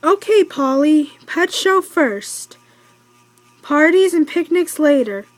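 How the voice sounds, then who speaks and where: Upbeat storybook girl read by a woman, high-pitched, keen, slightly halting, unhurried. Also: animated, poor audio. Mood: confident. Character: upbeat, eager girl planning a pet show. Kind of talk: children's storybook read-aloud.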